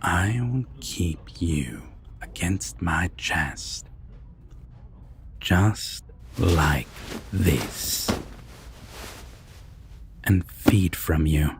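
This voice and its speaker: A man playing a sinister, possessive vampire villain in a roleplay audio drama, taunting and deliberate with a breathy, gravelly half-whisper. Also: low voice, animated, a little hiss or hum, heavily acted. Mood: cold taunting. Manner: slow, deliberate, taunting, with long pauses.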